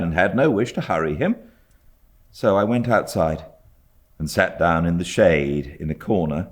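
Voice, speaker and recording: Low, unhurried British male narrator. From Britain. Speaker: audiobook narrator. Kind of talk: expressive scripted narration of classic literature.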